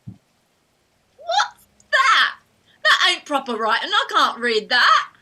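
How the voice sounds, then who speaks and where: Cheeky, whining young street flower seller, stage play, high and very sharp-edged. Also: female voice, huge pitch swings, slow, full character voice. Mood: wailing, protesting. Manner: whining, wailing, ungrammatical street talk. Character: poor young flower girl, cheeky, loudly wounded. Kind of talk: theatrical staged play reading.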